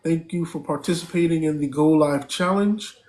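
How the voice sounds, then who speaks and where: A man's voice: a little high, normal speed, a little mellow. A man hosting a live stream, chatting casually, thanking viewers and stopping often with fillers.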